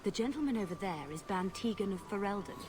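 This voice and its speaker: An actress playing a poised, gossipy noblewoman with arch, measured speech in a video game. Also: mid-range voice, expressive, a little mellow, natural voice. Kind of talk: video game dialogue acting.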